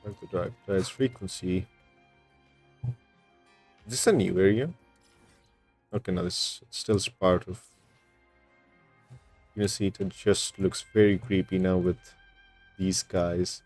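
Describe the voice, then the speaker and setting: A man's voice: low voice, fairly even intonation, slow, long pauses, a little mellow, soft background music. A man streaming a video game, giving casual playthrough commentary as he reacts to the story.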